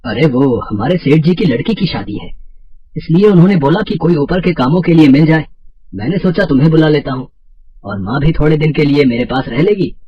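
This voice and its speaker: A woman's voice: deep voice, animated, brisk, pitched below the performer's own voice. A woman voicing an earnest working man, a son and husband in a cartoon story, on dull sound. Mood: casual, concerned.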